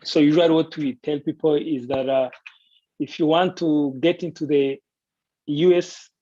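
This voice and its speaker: A man giving a semi-prepared guest presentation in a deliberate, polite way. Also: on the high side, steady tone, warm tone, no echo. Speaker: guest presenter pitching a city. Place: online video call. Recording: dull, lo-fi online call audio.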